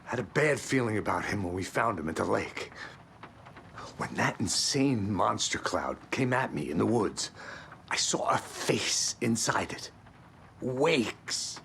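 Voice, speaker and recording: Slow, brooding federal agent. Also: male voice, fairly low pitch, animated, vocal fry, fairly bright tone, heavily acted. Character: weary, brooding male federal agent, hard-boiled and wary. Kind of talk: video game cutscene acting. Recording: light ambient background noise.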